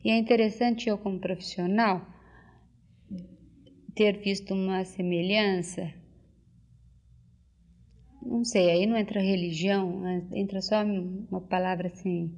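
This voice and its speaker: An older woman, recalling things as an interviewee and often pausing to search for words and restart. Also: mid-range voice, fairly even intonation, a little mellow. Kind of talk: casual spoken reminiscence. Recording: dull lo-fi tape recording.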